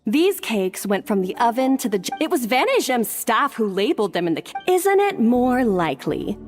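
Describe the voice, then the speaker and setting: A woman's voice: a little high, sing-song, moderate pace, darkish tone. An actress playing a smug, defiant young female rival chef in a game, haughty and taunting. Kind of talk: dramatic game voice acting.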